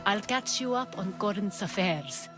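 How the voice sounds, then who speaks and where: A woman's voice: medium pitch, lively intonation, a little slow, over music, close to an everyday voice. A woman playing a gracious, knowing ally in a video game cutscene set in ancient Greece. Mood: gracious, assured.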